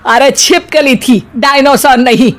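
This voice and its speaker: A woman voicing a sharp-tongued, bossy middle-aged mother-in-law, scolding and sarcastic, in a cartoon story. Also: high voice, unhurried.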